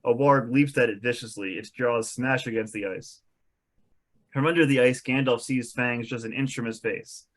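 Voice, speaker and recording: A man's voice: medium pitch, moderate pace. A man reading scripted stage directions as narrator at an amateur comedic table read.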